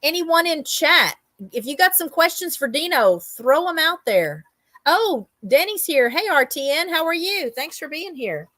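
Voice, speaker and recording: A woman with a fairly high voice hosts a livestream interview. She speaks in a casual, conversational style, moving between her guest and the people in the chat. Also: lively intonation, measured pace, pushed and bright. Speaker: interview host.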